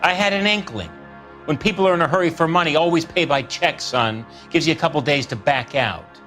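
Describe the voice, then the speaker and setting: A man's voice: fairly high pitch, fairly quick, bright, forceful tone, soft background music. An actor playing a slick, folksy used-car salesman in a video game, full of jokey patter and calling people son. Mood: defensive, brash. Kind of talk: video game character acting.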